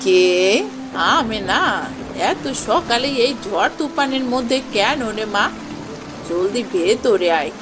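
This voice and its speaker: Woman acting high-voiced, weary old village aunt. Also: animated, slow. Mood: concerned. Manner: motherly, lamenting. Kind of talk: Bengali moral-story cartoon voice acting. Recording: clear rain noise behind.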